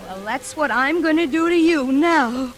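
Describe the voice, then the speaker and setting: An actress playing a deranged, vengeful sister, childlike and menacing, halting and repetitive, in 1940s radio thriller style. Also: female voice, sits high, animated. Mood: punishing, menacing. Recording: vintage radio recording with hiss.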